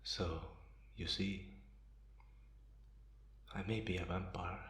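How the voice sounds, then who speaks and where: A man playing a bitter, guarded, centuries-old vampire in a slow ASMR roleplay monologue with measured pauses. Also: sits low, flat delivery, a bit bright. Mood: cold, resigned. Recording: roomy, echoing sound.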